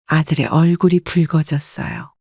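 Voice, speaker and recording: A woman narrating a family story in a calm, measured way, recorded on a close studio mic. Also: low voice, no echo.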